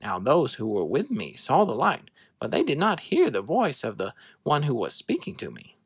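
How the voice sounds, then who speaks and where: A narrator reading scripture to children as a dramatic story, in an expressive, measured way. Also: mid-range voice, husky, dry recording.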